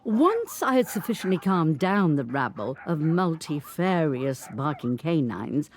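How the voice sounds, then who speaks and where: An actress playing an 85-year-old grandmother from Birmingham, who looks back on her life in a measured, unhurried monologue. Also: low voice, big pitch swings, a little mellow. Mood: reflective. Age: older. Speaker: narrator. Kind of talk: scripted dramatic monologue.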